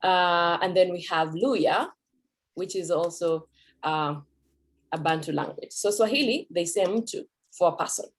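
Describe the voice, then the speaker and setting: A woman's voice: fairly low pitch, unhurried. A Kenyan woman whose first language is Kikuyu, giving a conversational talk at a cultural event as a Swahili teacher, with fillers and self-corrections.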